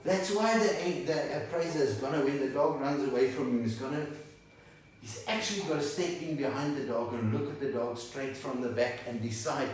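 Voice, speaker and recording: A man in his sixties, not a native English speaker, giving an animated whiteboard lecture and checking in with "right?" again and again. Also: mid-range voice, normal speed, slight background noise. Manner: animated, gestures and draws while explaining, asks "right?" often. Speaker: dog breed instructor. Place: small indoor room by a whiteboard. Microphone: camera a few meters away. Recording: echoey room, mic at a distance.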